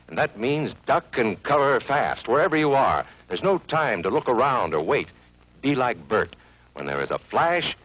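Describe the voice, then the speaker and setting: A man's voice: on the low side, dull audio. A man narrating an educational film for children in an earnest, friendly, instructive way, from an old 1950s soundtrack.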